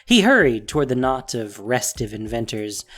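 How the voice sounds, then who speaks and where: A man's voice: mid-range voice, big pitch swings, moderate pace, fairly bright tone. A man narrating a scripted audio drama story with theatrical phrasing and emphatic stresses. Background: music.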